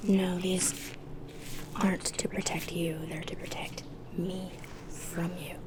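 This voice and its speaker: A woman playing a haughty, sinister dark fairy in an ASMR roleplay, whispering in a dismissive, sardonic way. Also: fairly low pitch, flat delivery, slow pace, noisy background. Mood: menacing, haughty.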